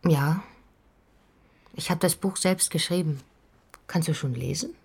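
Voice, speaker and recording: A weary woman writer in a radio drama, turned inward and quietly searching. Her low, very husky voice stays hushed and close, almost a whisper. The sound is completely dry, with no room around it. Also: measured pace, no room sound, exaggerated voice. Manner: hushed, near-whispered inner monologue. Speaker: main character and narrator of a radio drama. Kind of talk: radio drama with inner monologue.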